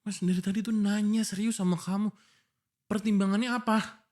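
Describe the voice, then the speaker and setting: A man's voice: sits high, steady tone, brisk, darkish tone, natural voice. A man playing an exasperated, jealous young fiancé in a boyfriend audio roleplay, arguing emotionally with pointed questions. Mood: defensive, exasperated. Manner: emotional, argumentative, pleading, full of pointed questions. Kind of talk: boyfriend ASMR roleplay acting.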